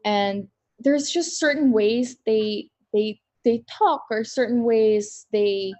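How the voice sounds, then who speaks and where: A woman's voice: a little high, takes it slowly, a little mellow. Bubbly young woman on a video call. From Philippines. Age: 20s. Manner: chatty, bubbly, fillers like "like" and "literally". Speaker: model interviewed at a press conference. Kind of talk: casual online press conference answers.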